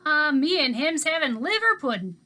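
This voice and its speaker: A woman voicing a folksy, easygoing old homeless man who chuckles and talks in a loud drawl. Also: sits high, sing-song, moderate pace, voice pushed up, full character voice.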